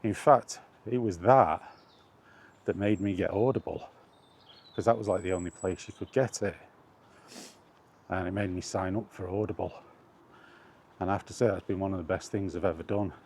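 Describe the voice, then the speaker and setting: A man's voice: low voice, moderate pace, long pauses, a little mellow, a little hiss or hum. A man chatting casually in a meandering, hesitant way as he records a walking vlog outdoors, often correcting himself. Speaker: vlogger. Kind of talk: casual rambling walk-and-talk chat.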